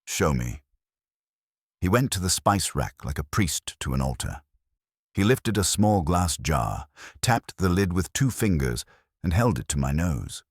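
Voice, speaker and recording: A man's voice: deep voice, very animated, measured pace, breathy edge, no room sound, studio quality. A man reading a scripted first-person detective audiobook in a wry storytelling manner.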